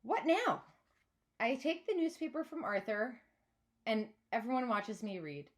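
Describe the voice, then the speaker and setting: A woman reading a children's novel aloud like a teacher, with expressive, dramatised narration. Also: a little high, normal speed.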